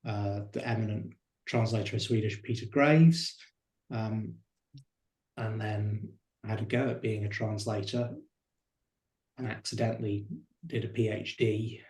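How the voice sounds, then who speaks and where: A man's voice: fairly low pitch, fairly even intonation, unhurried, pauses often, a little mellow, roomy sound, poor audio. A male translator answering on a panel, dry and self-deprecating.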